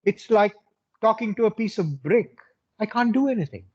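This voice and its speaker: An older man in his sixties speaks with big swings of pitch and a rough, husky edge. He is emphatic, restating key phrases as he motivates young students. The sound is slightly dull and narrow. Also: animated, normal speed, a little mellow. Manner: emphatic, repeats phrases for stress. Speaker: hotel general manager, guest speaker.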